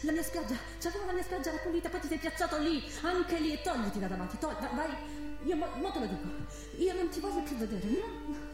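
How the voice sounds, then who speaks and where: A woman's voice: sits high, animated, fast. An actress playing an exasperated woman in a theatrical monologue, agitated and repeating herself as she stumbles over her words. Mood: suffocated, agitated. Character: exasperated woman breaking up with an oppressive petrochemical plant. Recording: very echoey stage recording with music. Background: live music.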